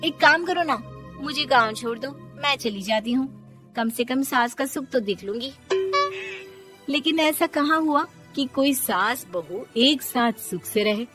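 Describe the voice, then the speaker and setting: Young wife, cartoon story, woman performer: high voice, whiny, excitable, pleading, light music bed. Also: unhurried. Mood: envious, sulky. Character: young village-born wife Radha, eager, pleading, excitable. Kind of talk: animated storybook character acting.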